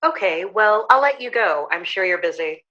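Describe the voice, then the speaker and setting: A woman's voice: mid-range voice, animated, normal speed, sharp tone. A woman acting in an audio drama as a courteous, businesslike financial professional, heard through a telephone filter. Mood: polite, reserved. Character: polite, businesslike female financial professional on the phone. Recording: dull, band-limited phone-call sound.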